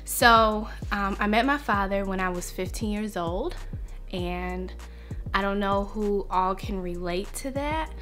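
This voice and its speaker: A woman's voice: mid-range voice, fairly bright tone, soft background music. A young woman talking to camera on her vlog, earnest and reflective as she shares something personal. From United States. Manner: earnest, reflective, slow and heartfelt. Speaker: vlogger.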